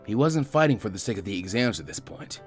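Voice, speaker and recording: A man reading fan fiction aloud as an expressive narrator of a scripted story. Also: fairly low pitch, fast talker, faint music behind.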